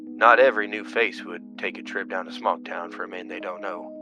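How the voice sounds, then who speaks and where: A man's voice: deep voice, steady tone, brisk, dull audio, music in the background, natural voice. An actor playing an earnest, inquisitive man investigating a mystery in an audio drama. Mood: concerned, questioning. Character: earnest, inquisitive man searching a murdered tavern keeper's home. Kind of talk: narrative podcast acting.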